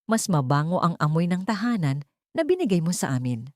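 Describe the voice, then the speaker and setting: A woman's voice: fairly low pitch, very animated, a little fast, dry recording, clean studio sound. A woman voicing a loving, peaceful man in a romantic audio story. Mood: tender, peaceful. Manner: tender, peaceful. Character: loving, repentant rich man, tender husband.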